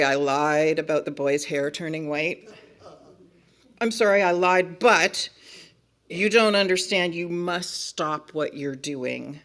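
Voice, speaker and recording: A woman's voice: fairly low pitch, a bit bright. A woman reading a picture book aloud as a frantic, bossy narrator who scolds and begs the reader in an exasperated, melodramatic way. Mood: pleading, stern. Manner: exasperated, melodramatic, emphatic pleading with drawn-out warnings. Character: frantic, bossy, pleading storybook narrator begging reader to stop. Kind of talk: dramatic children's picture-book read-aloud.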